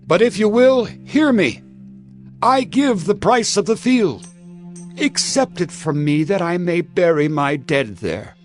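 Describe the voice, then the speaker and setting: An actor playing an aged, devout patriarch in a dramatized scripture recording, with a creaky voice and solemn, earnest delivery. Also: male voice, sits high, expressive, soft background music. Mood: insistent. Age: older. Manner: slow, weighty, pleading delivery. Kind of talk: dramatized scripture audio acting.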